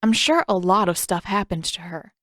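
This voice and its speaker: A woman voicing a gentle, reasonable young teenage boy in a story, mild and a little hesitant. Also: lowish voice, expressive, normal speed, dry recording, clean studio sound. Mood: mild, unsure.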